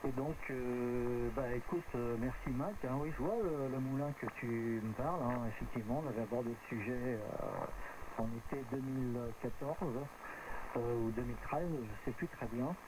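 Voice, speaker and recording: A man with a mid-range voice chats as an amateur radio operator. He rambles a little, pausing to find his words. His voice comes over a radio link with clear noise behind it. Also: mid-range voice. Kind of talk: casual radio contact chat. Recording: noisy radio transmission with clear background noise.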